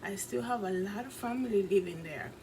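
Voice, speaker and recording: A woman's voice: mid-range voice, brisk. A woman from the Dominican Republic with a Dominican accent, earnestly recounting a dream in a casual, rambling vlog full of fillers, recorded in her apartment. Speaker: vlogger and fashion designer. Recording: clear background noise.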